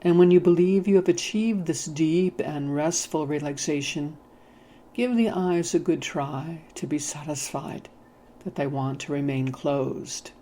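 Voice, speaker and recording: A narrator leading a hypnotic guided meditation in a soothing, measured, gently instructing manner. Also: mid-range voice, a little hiss or hum.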